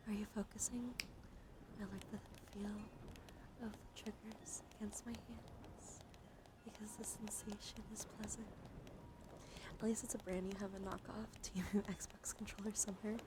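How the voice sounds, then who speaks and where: A woman hosting an ASMR livestream, speaking softly and deliberately, often slipping into whispers and gently repeating phrases. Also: mid-range voice, flat delivery. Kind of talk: ASMR, slow soft talk to live chat. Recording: clearly audible background noise.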